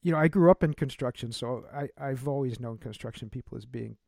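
A man's voice: medium pitch, brisk, creaky, warm tone, dry recording, clean studio sound. A middle-aged man hosting an interview, enthusiastic and chatty with many fillers. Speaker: podcast host.

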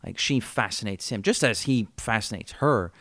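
A man's voice: on the low side, expressive, moderate pace, a bit bright, no echo. A man co-hosting a podcast, chatting casually about a miniseries, rambling and joking with frequent laughs. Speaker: podcast co-host. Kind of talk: casual chat reviewing a miniseries.

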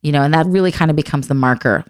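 A woman in her thirties with a low, smooth voice speaks earnestly and reflectively. Her tone is soft-spoken and candid. The sound is dry and close. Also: rapid-fire, no room sound. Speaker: adoptive mother and guest. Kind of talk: candid conversational chat.

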